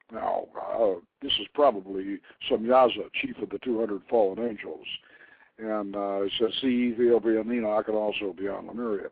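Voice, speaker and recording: An older man hosting a radio show, reading aloud and commenting informally in a deliberate storyteller's manner. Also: mid-range voice, no room sound. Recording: narrow phone-like line, dry.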